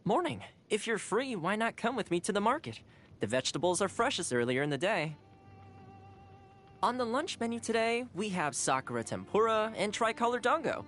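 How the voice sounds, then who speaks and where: A woman's voice: medium pitch, sing-song, moderate pace, a bit bright, slight background noise. A woman voicing a cheerful, caring young male housekeeper in a video game, friendly and earnest. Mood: cheerful, helpful. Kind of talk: video game character voice lines.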